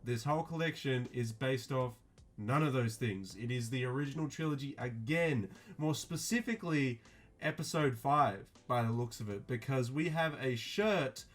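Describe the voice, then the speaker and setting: Flat-voiced man with many ums. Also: mid-range voice, moderate pace, faint music behind. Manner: flat, mildly disappointed, many fillers. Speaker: streetwear vlogger. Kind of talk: casual unscripted review.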